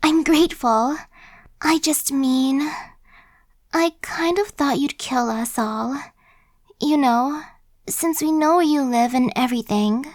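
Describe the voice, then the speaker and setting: A woman's voice: high-pitched, slow pace, long pauses, a little mellow, dry recording, clean studio sound. A woman playing a chatty, sly young female zombie in an ASMR roleplay, talking in a slangy, cajoling, buddy-like way. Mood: sheepish, relieved. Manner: casual slangy wheedling, buddy-like.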